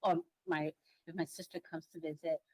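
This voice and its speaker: Halting low-voiced woman from Hong Kong. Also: flat delivery, mellow, slightly rough, no room sound, clean studio sound. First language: Tagalog. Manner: halting, searching for words. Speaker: guest, congregation secretary. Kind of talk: casual conversational storytelling.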